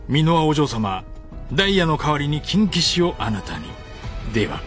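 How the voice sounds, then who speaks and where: A suave phantom thief, acted by a man, grand and theatrical, with a lightly raised pitch. He teases in unhurried, courtly phrases for a comic radio drama, with music behind him. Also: very animated. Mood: smooth, teasing.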